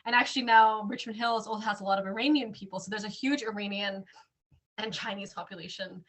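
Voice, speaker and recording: Bright-voiced Canadian woman chatting. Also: mid-range voice, fairly even intonation, quick pace. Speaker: co-host. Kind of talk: casual chat.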